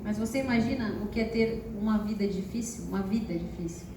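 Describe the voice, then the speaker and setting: Brisk Brazilian woman interpreting, echoey hall. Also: medium pitch. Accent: Brazilian Portuguese. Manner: quick, brisk relaying of each phrase. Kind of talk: live consecutive interpreting on stage. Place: large hall. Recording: echoey hall from far off, faint noise.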